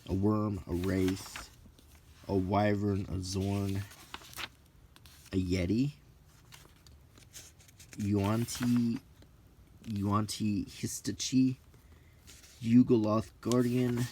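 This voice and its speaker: A middle-aged man hosting a casual, ASMR-like show-and-tell, reading out names in a halting, list-like way with brief musing asides. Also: on the low side, fairly even intonation, mellow, slight background noise. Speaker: video host.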